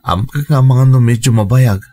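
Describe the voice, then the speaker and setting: A man acting a worried family father in an Ilocano radio drama, with an expressive, sighing delivery and a husky voice. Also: mid-range voice, moderate pace, close to an everyday voice. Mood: troubled.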